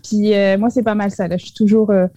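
A woman's voice: medium pitch, little pitch movement, brisk, dark tone, no echo. A young woman from France with a French accent tinged by Quebec, answering interview questions, hesitant, with many fillers and restarts. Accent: French with Quebec influence. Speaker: guest.

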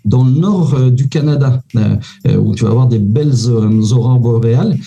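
Hesitant man, mellow dark voice. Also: medium pitch, moderate pace, gravelly, dull audio, faint music behind. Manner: hesitant, many "euh" fillers, informal. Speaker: immigration consultant guest. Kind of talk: casual advice chat.

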